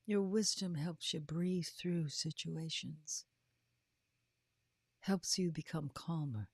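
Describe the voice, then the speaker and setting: A woman in her fifties or older giving a motivational talk as a host, with emphatic, drawn-out delivery that often drops into breathy, hushed phrases. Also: low voice, dry recording, clean studio sound. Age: 50s or older. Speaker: podcast host and coach. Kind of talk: motivational livestream talk to followers.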